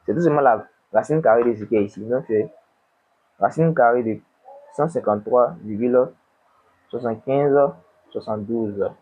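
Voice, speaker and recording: A man with a cold, tutoring an econometrics exercise and dictating numbers slowly while asking himself questions. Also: mid-range voice, husky, phone-quality sound. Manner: slow, dictating numbers, asks and answers himself. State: has a cold. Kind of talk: screen-recorded worked exercise.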